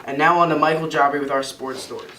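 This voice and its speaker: A woman's voice: sits low, fairly even intonation, fast, a bit bright. A young woman reading a scripted amateur radio advertisement as an announcer. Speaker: commercial announcer in a student project. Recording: roomy, distant mic, faint background noise.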